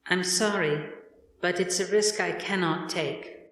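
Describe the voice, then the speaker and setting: A man's voice: sits high, steady tone, unhurried, fairly bright tone, voice pushed up. Echo-drenched, merciless computer intelligence voiced by a man. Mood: calmly bargaining. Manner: detached, unyielding, formal. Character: cold, implacable artificial intelligence computer, female, merciless and calm. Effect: cavernous echo on the voice. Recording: very echoey, distant, long reverb.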